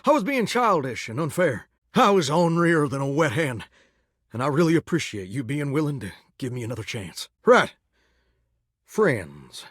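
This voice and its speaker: A man's voice: on the high side, very animated, normal speed, fairly bright tone, slightly rough, no room sound, clean studio sound, exaggerated voice. An actor voicing a vain, melodramatic male shower spirit who dreams of singing stardom, talking in a folksy, theatrical drawl for a video game. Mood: folksy, chatty. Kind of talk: video game character dialogue.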